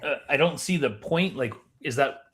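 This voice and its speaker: A man's voice: mid-range voice, lively intonation, normal speed, a bit bright. A man hosting a live podcast in an informal, conversational style. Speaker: podcast host. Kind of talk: casual live podcast chat.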